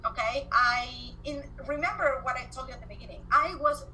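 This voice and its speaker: Bright-voiced woman with an Argentine accent. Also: sits high, a little fast, rough recording. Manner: animated storyteller, repeats words when excited. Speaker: guest entrepreneur. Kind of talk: podcast interview.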